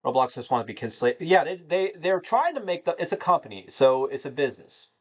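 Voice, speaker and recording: A man's voice: a little high, sing-song, fairly quick. A man in his thirties from North Carolina, casually chatting and answering viewer questions on a livestream, rambling with many fillers. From United States (North Carolina). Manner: rambling, filler-heavy, addresses chat as "man". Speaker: livestream host answering chat.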